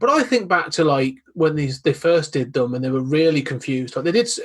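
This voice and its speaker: A man's voice: a little high, animated, brisk, slightly rough. A man, a podcast guest, talking in hesitant casual chat with many restarts. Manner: hesitant, lots of fillers and restarts.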